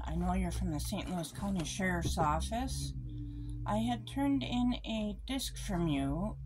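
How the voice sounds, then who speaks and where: A middle-aged woman speaking in a polite, deliberate, earnest way, as if giving a formal statement or phone message. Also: low voice, a little mellow, low-quality recording. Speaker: vlogger making a phone call. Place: small room indoors.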